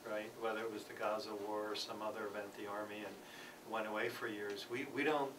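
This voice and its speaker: A man presenting a peace program at a conference, speaking haltingly with many fillers and restarts. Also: fairly low pitch, level tone. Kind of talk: conference panel talk. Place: church conference hall. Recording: distant, noisy conference recording.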